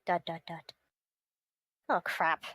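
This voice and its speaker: A woman's voice: lowish voice, steady tone, takes it slowly, sharp tone. A woman chatting casually on a live stream and reading visual novel dialogue aloud as they play.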